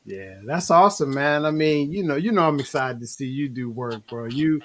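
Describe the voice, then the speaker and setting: A man's voice: a little high, expressive, moderate pace. Easygoing man hosting a video-call chat. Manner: easygoing, rambling, many fillers. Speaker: show host. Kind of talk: casual online interview.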